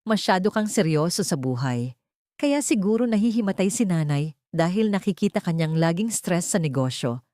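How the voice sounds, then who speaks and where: A woman's voice: fairly low pitch, expressive, normal speed, mellow, dry recording, clean studio sound, close to an everyday voice. A woman voicing a complacent, belittling husband in an audio drama, casual and condescending, mixing in English. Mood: breezy, dismissive.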